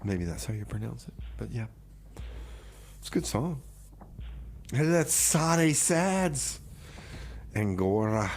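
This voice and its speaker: An offhand man hosting community radio at a middling pitch. He banters dryly and reels off songs casually, with faint noise behind him. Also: very animated, slow pace, pauses often. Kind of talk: casual on-air banter and song announcing.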